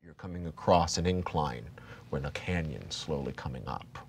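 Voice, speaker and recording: A man, narrating a tabletop horror game as game master in a halting, dramatic, descriptive way. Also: extremely low pitch, darkish tone, slight background noise. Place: indoor studio set.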